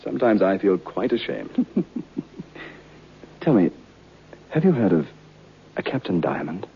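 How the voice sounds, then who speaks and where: An actor playing a curious, bookish gentleman recounting a ghost tale in formal, earnest old-time radio style. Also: low-pitched, big pitch swings, unhurried, slight background noise, heavily acted. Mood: admiring, curious. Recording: muffled old radio recording.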